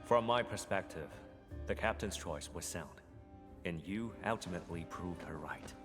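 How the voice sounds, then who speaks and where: Male actor as deep-voiced soldier, stoic, formal, blunt, game dialogue, music behind. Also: normal speed, natural voice. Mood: grave, calm. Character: stoic, terse male military operative reporting as a soldier. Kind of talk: video game voice acting.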